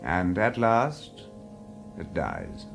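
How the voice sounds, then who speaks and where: A low-pitched man narrates an audio drama over music. His delivery is theatrical and measured, with deliberate pauses. His pitch moves widely as he shapes each phrase. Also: huge pitch swings, vocal fry. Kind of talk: dramatic scripted story narration.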